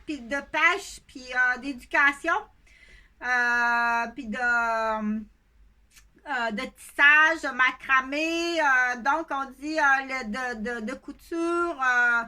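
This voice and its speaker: Bright high-voiced Québécois woman in her fifties. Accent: Québécois French. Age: 50s. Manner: hesitant and rambling, with frequent filler sounds. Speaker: video blogger. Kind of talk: casual talk to camera.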